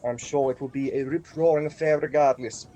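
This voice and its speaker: Bloke doing gloomy old uncle in a radio play, exasperated n tired, bit of background noise. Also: male voice, mid-range voice, fairly even intonation, fairly quick, warm tone, natural voice, low-quality recording. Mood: glum. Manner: weary, hesitant, exasperated. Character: gloomy, troubled older uncle, reformed miser. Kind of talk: comic radio play acting.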